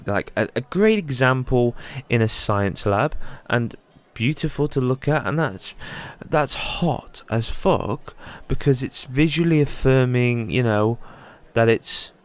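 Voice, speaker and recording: A man with a clear, smooth voice at an ordinary middle pitch. He talks in a loose, rambling way, candid and full of swearing, as if giving a friend his honest take one-on-one. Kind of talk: casual one-sided monologue to a friend.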